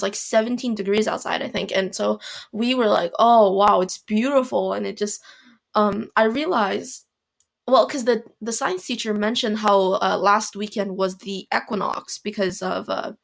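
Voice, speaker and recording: A teenage girl hosting her own podcast, talking casually in a rambling, self-deprecating way with lots of fillers, her voice hoarse and raspy. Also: medium pitch. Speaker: podcast host. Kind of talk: casual solo podcast monologue. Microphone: home recording mic.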